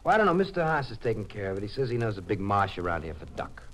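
Sly oilfield schemer, folksy, low pitch, animated swoops, vintage radio crime drama. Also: male voice, very fast. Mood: sly, chatty. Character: cocky, folksy, scheming male oil-well worker.

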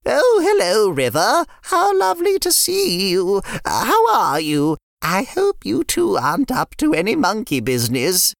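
A woman's voice: medium pitch, huge pitch swings, a little slow, vocal fry, few pauses, dry recording, studio quality, pitched above the performer's own voice, full character voice. A woman voicing a kindly older grandmother who loves biscuits, in a fussy, chatty way. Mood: fond.

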